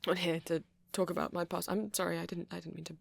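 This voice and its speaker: Man as a shy, breathy posh British vampire boy. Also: high-pitched, little pitch movement, fast, no room sound, heavily acted. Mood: apologetic, nervous. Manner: hesitant, stammering, soft-spoken, apologetic. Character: shy, apologetic young male vampire, politely awkward. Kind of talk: intimate ASMR roleplay audio.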